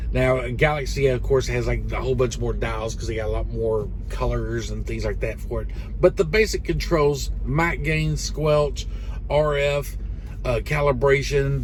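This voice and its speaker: A man's voice: mid-range voice, a little mellow, slight background noise. A man giving a casual, folksy, hands-on explanation in a rambling way, like a trucker teaching others. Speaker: host, truck driver. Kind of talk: casual instructional video, explaining radio controls.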